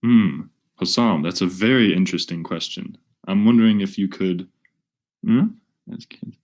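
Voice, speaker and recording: A man facilitating a webinar, talking off the cuff in a measured, halting way as he explains and puts questions to participants. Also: low voice, darkish tone. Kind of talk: unscripted webinar teaching, posing questions to participants.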